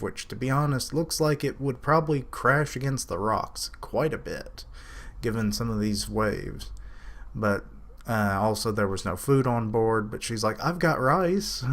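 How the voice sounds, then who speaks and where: Casual male reviewer, low-pitched, rambling, self-correcting. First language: English. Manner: lifts his pitch to quote characters.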